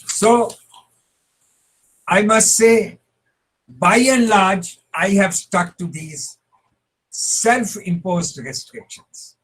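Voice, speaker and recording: A man with an Indian English accent, a Punjabi speaker and translator, giving a measured, humble talk with frequent restarts over a video call. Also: high-pitched, a bit bright, breathy edge, poor audio. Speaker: translator speaking at a web conference. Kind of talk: reflective conference talk.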